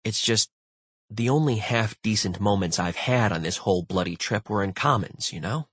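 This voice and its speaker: A man's voice: lowish voice, moderate pace, fairly bright tone, slightly rough, dry recording. A man voicing a weary, irritable male spaceship crew member in an audiobook, exasperated and tense. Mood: frustrated, weary.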